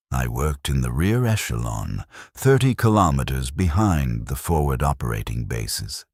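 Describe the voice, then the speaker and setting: A man playing a weary military logistics clerk who recounts his war service in a measured, reflective first-person narration. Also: rumbling low, a little mellow, no room sound, studio quality, exaggerated voice.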